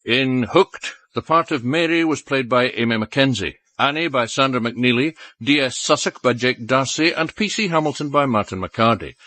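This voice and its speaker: A male radio announcer reads scripted credits in a crisp, bright voice. The sound is close and dry, with a middling pitch. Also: normal speed, hardly any pauses, dry recording. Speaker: continuity announcer.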